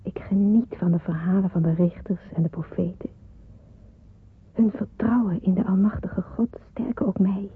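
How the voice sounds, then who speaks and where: An actress playing an earnest, devout young woman in wartime hiding in a radio drama, with a husky, breathy voice. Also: medium pitch, steady tone, moderate pace. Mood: devout, solemn. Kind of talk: radio drama acting with narrated monologue. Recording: muffled, phone-like radio play recording.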